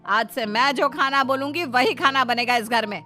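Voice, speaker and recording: Lady doing a naggy cartoon housewife, high voice, taunting and scolding, music behind. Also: moderate pace, pushed and bright. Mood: stern. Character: bickering, sarcastic daughters-in-law and scolding mother-in-law. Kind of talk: Hindi cartoon family story acting.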